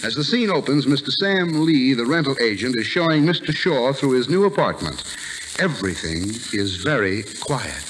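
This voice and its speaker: A man's voice: mid-range voice, very animated, moderate pace, fairly bright tone. A man announcer setting the scene in scripted 1940s radio narration. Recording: slightly noisy vintage radio recording.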